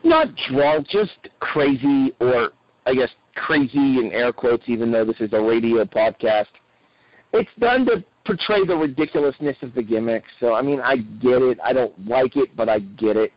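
Slightly rough-voiced man on dull podcast audio. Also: mid-range voice, lively intonation, normal speed, no room sound. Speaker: wrestling podcast co-host. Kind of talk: casual sports review chat.

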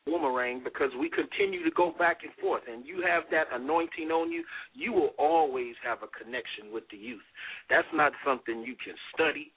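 A man's voice: a little high, brisk, husky. A man in his forties, a pastor hosting a radio talk show, speaking with a preacher's cadence and frequent amens. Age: 40s. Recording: phone-line radio audio.

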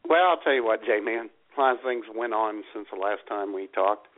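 A man co-hosting a casual comedy radio show, telling stories in an animated, exasperated way. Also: lowish voice, fairly quick. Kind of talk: casual comic banter and storytelling. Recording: tinny phone-line internet radio sound.

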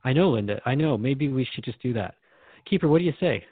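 A man's voice: medium pitch, expressive, quick pace, husky, no room sound. A man hosting a radio show in an earnest, inquisitive, conversational manner, recorded dry and somewhat muffled.

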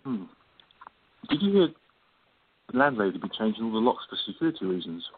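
Through a tinny, phone-like recording, a man acts a boyish, jokey guy in a radio play. His husky voice jumps about, awkward and expressive. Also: fairly low pitch, brisk. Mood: awkward, light. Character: boyish, joking male friend, nervous in love. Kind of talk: amateur radio play acting. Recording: thin phone-like line.

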